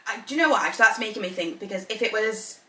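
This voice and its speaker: A woman's voice: mid-range voice, lively intonation, racing, distant mic, crowd noise. A woman from Zambia co-hosting a podcast, thinking aloud with restarts and easy laughs. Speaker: podcast co-host. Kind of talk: casual chat. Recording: echoey room, voice a little off-mic.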